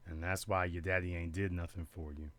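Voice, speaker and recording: A man hosts a live call-in show in a bright, forceful voice. He is blunt and lectures as he talks. The sound is very dry, with little room around him. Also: deep voice, little pitch movement, brisk, no room sound.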